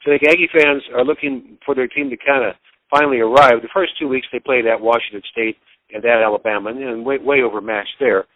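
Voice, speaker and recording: A man giving casual sports commentary as an interviewed broadcaster, in a halting, rambling, folksy way. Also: medium pitch, steady tone, narrow, tinny audio.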